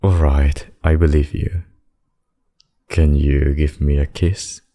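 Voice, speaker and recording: A man voicing a possessive demon king and lover in a scripted ASMR roleplay, speaking deliberately and intimately. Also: extremely low pitch, level tone, dark tone, exaggerated voice. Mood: tender, concerned. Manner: slow, deliberate, intimate addresses with pet names. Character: possessive demon king, menacing abductor turned tender secret lover.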